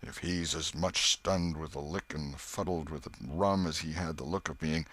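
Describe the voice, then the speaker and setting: A man voicing a cold, controlling murderer in an audiobook, with rough, commanding rustic speech. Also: rumbling low, moderate pace, fairly bright tone, full character voice. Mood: scornful muttering. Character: stolid, scheming male murderer, cold and controlling.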